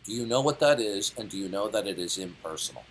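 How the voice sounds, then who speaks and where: A man's voice: fairly low pitch, animated, fast, slight background noise, low-quality recording. Condescending, lecturing man in his fifties. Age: 50s. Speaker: debate caller. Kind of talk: heated debate.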